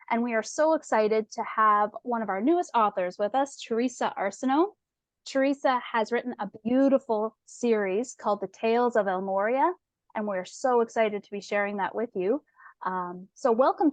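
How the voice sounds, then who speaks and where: A woman's voice: on the high side, moderate pace, dry recording. A woman, a publisher hosting a casual interview with a guest.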